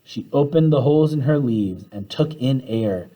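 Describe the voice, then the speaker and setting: A man reading a children's storybook aloud in an animated way, acting out the dialogue as he goes. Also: mid-range voice, normal speed, poor audio. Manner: animated, acts out the characters' dialogue.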